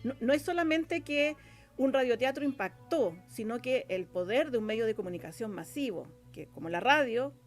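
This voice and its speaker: Chilean female guest, slightly high voice, casual explanatory talk, repeats words for emphasis, music behind. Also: expressive, moderate pace. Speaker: guest, radio drama scriptwriter.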